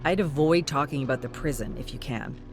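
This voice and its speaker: A woman's voice: a bass voice, a little fast, music in the background. A woman voicing a cautious, businesslike male television producer in a dramatic story reading. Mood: cautioning. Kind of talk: dramatic audiobook character voice.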